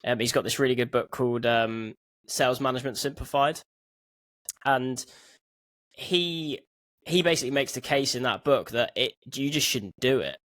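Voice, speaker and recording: A man's voice: mid-range voice, moderate pace, a bit bright. Young man, podcast host, chatty, loose, studio mic, home room. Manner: chatty, loose, says "like" a lot. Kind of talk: casual interview questions. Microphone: studio mic on a stand.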